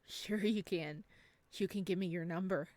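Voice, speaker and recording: A woman's voice: lowish voice, moderate pace, a little mellow, no room sound, close to an everyday voice. A woman voicing a creepy, cocky young man from a visual novel in a teasing, informal way.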